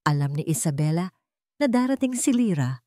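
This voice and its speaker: A woman's voice: sits low, big pitch swings, normal speed, mellow, no room sound, clean studio sound. A woman with a Filipino accent narrating a dramatic scripted story.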